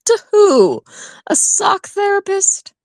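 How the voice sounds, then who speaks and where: An actress playing a quick-witted lawyer friend in an audio play, teasing and expressive. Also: female voice, very high voice, no echo, full character voice.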